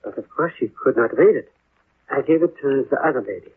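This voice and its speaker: A man playing an excitable, talkative European apartment-house neighbour in a 1950s radio drama, with a raspy voice. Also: medium pitch, brisk. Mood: agitated, gossipy. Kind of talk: 1950s radio character acting. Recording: thin, narrow-band vintage 1950s radio.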